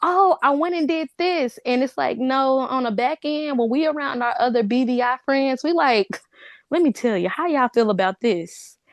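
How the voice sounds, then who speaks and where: Higher-voiced woman chatting casually, dry sound. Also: moderate pace, no room sound. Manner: informal, says "like" often. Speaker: cast member, interview guest. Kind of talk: casual conversational chat.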